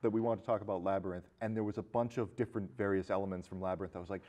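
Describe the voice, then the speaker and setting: A man co-hosting a casual film discussion, talking in a halting, rambling way. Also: low-pitched, steady tone, a little mellow. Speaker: podcast co-host.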